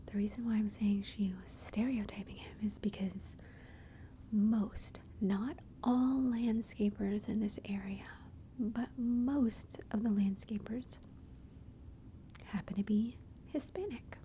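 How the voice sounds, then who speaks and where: A woman telling a personal story in a soft, chatty whisper, ASMR style. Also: mid-range voice, steady tone, slow pace, pauses often, a little hiss or hum. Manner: soft unvoiced whisper throughout; chatty, conversational asides.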